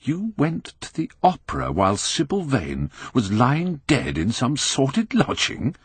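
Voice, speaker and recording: A man's voice: medium pitch, huge pitch swings, unhurried, slightly rough, dry recording, pitched above the performer's own voice, heavily acted. A man voicing an earnest painter in an audiobook, British, grave and pained as he pleads with a friend. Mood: pained, reproachful. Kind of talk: audiobook character acting.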